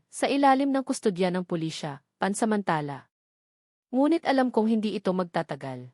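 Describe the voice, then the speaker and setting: A woman's voice: mid-range voice, a little mellow, no echo. Filipino woman narrating drama, close and dry. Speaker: story narrator. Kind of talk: dramatic first-person scripted narration.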